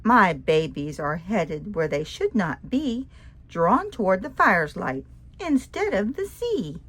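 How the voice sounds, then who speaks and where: Lady doing a soft motherly sea turtle, slow and breathy. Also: medium pitch, big pitch swings, heavily acted. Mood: gentle.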